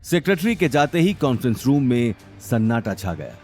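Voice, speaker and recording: A male storyteller narrates a scripted drama with expressive, dramatic delivery. His mid-range voice moves in animated swings of pitch, and soft music plays underneath. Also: moderate pace.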